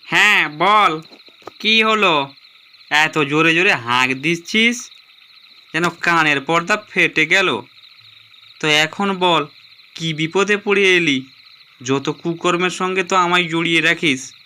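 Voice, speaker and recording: A man voicing a grumbling but generous young friend in an animated story, blunt and teasing. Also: a little high, slow, long pauses, slight background noise, full character voice. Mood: annoyed, teasing. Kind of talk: animated horror story dialogue.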